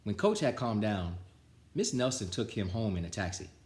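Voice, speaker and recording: A man reading a children's picture book aloud with an animated, theatrical delivery. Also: fairly low pitch, moderate pace. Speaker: storytime reader. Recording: roomy, echoing sound.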